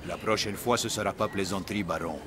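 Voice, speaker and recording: Man playing a deep-voiced sly foreign villain. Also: a little fast, a crowd in the background, close to an everyday voice. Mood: menacing. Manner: broken French dropping articles, sly. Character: sinister foreign male henchman, slyly menacing, falsely courteous. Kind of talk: French cartoon acting.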